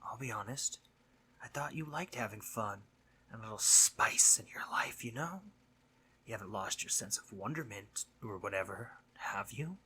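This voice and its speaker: A man playing a mischievous but concerned sleep demon in a roleplay audio monologue, husky and conversational, earnest and teasing, sometimes dropping to breathy near-whispers. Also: on the low side, expressive, moderate pace, pushed and bright, a little hiss or hum. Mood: coaxing, teasing.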